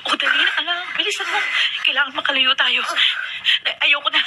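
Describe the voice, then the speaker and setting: A woman's voice: high-pitched, brisk, pushed and bright, faint music behind. An actress playing a distressed Filipino woman in a radio drama, pleading with urgent emotion. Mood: urgent, frightened. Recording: noisy radio drama recording.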